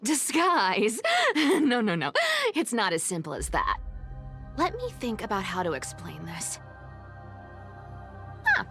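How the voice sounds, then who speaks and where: Sly, lively young trickster demigoddess. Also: female voice, medium pitch, heavily acted. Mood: sly explaining. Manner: playful, teasing, drawn-out delivery. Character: sly, teasing young female trickster demigod and treasure hunter. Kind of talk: anime-style game voice acting. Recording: music behind the voice.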